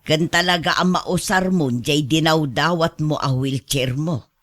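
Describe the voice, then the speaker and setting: A man plays a fretful old Ilocano parent who nags and complains. The voice is high and the words come slowly. It is acted for radio drama. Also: fairly even intonation, fairly bright tone. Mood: complaining.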